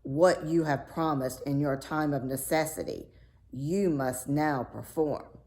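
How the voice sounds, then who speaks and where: Female reader as storybook king: older father, stern, commanding, slow and lowish. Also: a little mellow. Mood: stern, angry. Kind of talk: storybook read-aloud character voice.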